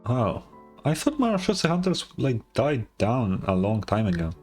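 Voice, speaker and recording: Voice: medium pitch, very animated, normal speed, darkish tone. A game streamer giving casual reaction commentary, incredulous and stammering, swearing freely. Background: game music.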